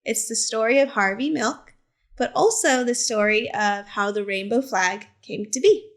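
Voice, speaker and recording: A woman reading a picture book aloud to children as a teacher librarian, in simple, deliberate phrases with short asides. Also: fairly high pitch. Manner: simple, deliberate phrasing addressed to young listeners.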